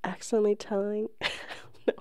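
A woman's voice: mid-range voice, little pitch movement, normal speed, smooth, no room sound, clean studio sound. A woman co-hosting a podcast in casual comedic chat, candid and often amused, swearing freely. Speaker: podcast co-host.

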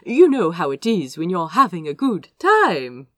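Woman acting a fussy, chirpy young prince, very animated. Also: on the low side, unhurried, no echo, studio quality. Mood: flustered, chirpy. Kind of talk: fan fiction audiobook dialogue.

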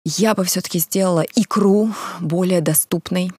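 A woman from Kamchatka in a casual interview, with a clear, ringing voice and brisk, chatty storytelling. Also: lowish voice, darkish tone, no echo, studio quality. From Kamchatka, Russia. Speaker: guest.

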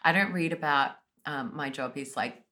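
A woman's voice: low voice, moderate pace. A woman in her thirties co-hosting a podcast, chatting casually and joking with frequent laughs. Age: 30s. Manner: joking, laughs often, lively. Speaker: podcast co-host. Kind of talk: casual chat.

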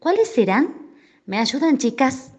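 A woman with an Argentine accent teaching in a didactic, expressive way, explaining and addressing her listeners, on slightly dull lo-fi audio. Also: high-pitched, a little slow. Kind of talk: educational explanation with spoken examples.

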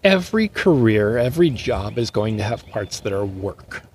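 A man who is a musician guest on a podcast, speaking in a thoughtful, measured way. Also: on the low side, very animated, a little mellow, a little hiss or hum. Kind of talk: casual interview chat.

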